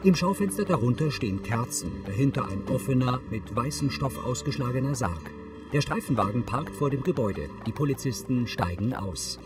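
A man's voice: mid-range voice, lively intonation, normal speed, loud music behind the voice. A man reading scripted audio description in the role of narrator.

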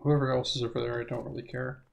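A young man giving rambling, self-correcting let's play commentary, his voice hoarse as if he is losing it. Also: mid-range voice, level tone, fast. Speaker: gaming channel host.

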